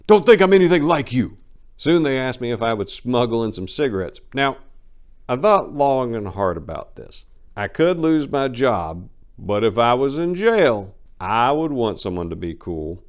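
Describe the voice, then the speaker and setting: A man giving a dramatic reading of a first-person memoir in a brash, swaggering storytelling manner. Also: on the low side. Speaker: narrator of a memoir.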